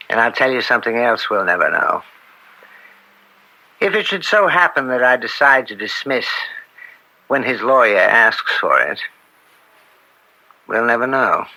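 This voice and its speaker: Bright-voiced, anguished American judge. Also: male voice, mid-range voice, exaggerated voice, low-quality recording. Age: middle-aged. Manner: grave, deliberate, theatrical. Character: anguished, upright judge and father, bitter and conflicted. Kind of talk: old-time radio drama acting.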